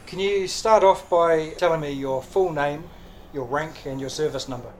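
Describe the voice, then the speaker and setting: A man's voice: fairly high pitch, normal speed. A male interviewer asks brief questions in a slightly raised voice. A faint ambient hum sits in the background. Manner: brief prompts and backchannels. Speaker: interviewer, host.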